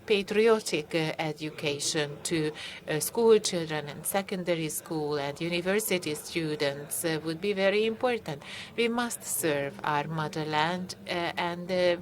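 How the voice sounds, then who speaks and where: A woman giving a live interpretation of a conference lecture, speaking haltingly with frequent filled pauses. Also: on the low side.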